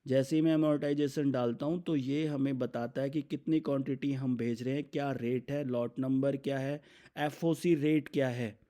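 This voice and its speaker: A man with an Indian accent narrates a software demo in a conversational, step-by-step way, often posing questions and answering them himself. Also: mid-range voice, fairly even intonation, normal speed. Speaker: software product demonstrator. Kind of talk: explanatory software demo narration, semi-scripted.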